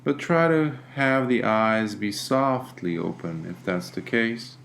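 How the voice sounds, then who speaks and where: A man leading a guided meditation as a teacher, speaking gently and deliberately with long pauses. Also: on the low side, vocal fry, slight background noise.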